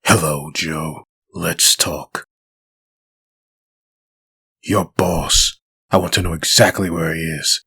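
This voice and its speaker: A man acts out an undead comic-book avenger with a deep, raspy voice. He speaks with cold, controlled menace, like someone used to making threats. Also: fairly even intonation, heavily acted. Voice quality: husky, rough voice. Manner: slow, quiet menace. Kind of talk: comic dub acting.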